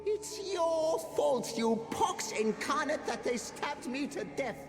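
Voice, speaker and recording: The bickering ghost of a gambling nobleman in a high, wildly swooping voice. He sounds indignant and exasperated, and the game audio carries a long reverb with clear music underneath. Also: lively intonation, slow pace, exaggerated voice. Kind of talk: video game voice acting.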